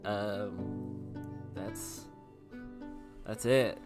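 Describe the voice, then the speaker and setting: A man's voice: fairly low pitch, steady tone, very slow. Casual man with music underneath. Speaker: tabletop podcast player. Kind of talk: casual chat.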